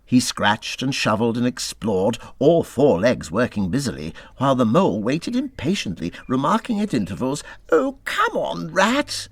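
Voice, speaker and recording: A man reading a classic children's story aloud as an expressive narrator, with measured, dramatic phrasing. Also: mid-range voice, no room sound. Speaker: audiobook narrator.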